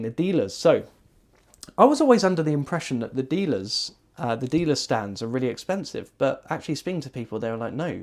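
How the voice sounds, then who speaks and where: A man's voice: mid-range voice, darkish tone. A man casually recapping an event in a rambling way, with many ums and self-corrections. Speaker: magician reviewing a convention.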